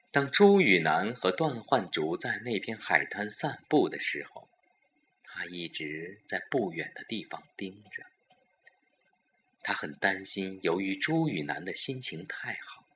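Guy narrating a suspense radio play, really slow and deliberate, intense sharp voice. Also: low voice. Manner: dramatic, deliberate storytelling. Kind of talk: scripted suspense audio drama narration.

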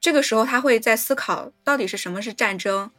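A woman's voice: a little high, fairly quick, a bit bright, no echo, studio quality, soft background music. A woman co-hosting a podcast, talking reflectively about film in short, casual phrases. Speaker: podcast co-host.

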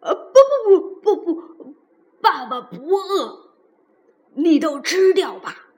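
A woman voicing a big, gruff male T-rex who acts tough but cares for a little one, in a storybook reading. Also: sits high, lively intonation, takes it slowly. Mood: flustered. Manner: gruff, blustering, softening. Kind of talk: storybook read-aloud.

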